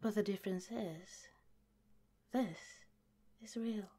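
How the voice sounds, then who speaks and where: A woman voicing a sly but gentle scientist who runs an underground lab, in a soft, half-whispered ASMR roleplay. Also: mid-range voice, takes it slowly, husky. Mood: hospitable. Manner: sweetly hospitable, with a scheming edge.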